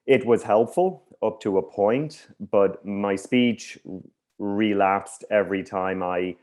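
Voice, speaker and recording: An Irish man who stutters, hosting a workshop presentation in a measured way with slight blocks on some words. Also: lowish voice, darkish tone. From Ireland. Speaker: workshop host. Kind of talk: conference presentation. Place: video call.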